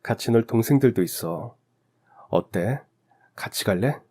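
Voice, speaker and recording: A man's voice: lowish voice, lively intonation, a little slow, dark tone, breathy edge. A man playing a kind, humble man in his thirties, a family friend in a radio story drama. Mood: kind, inviting.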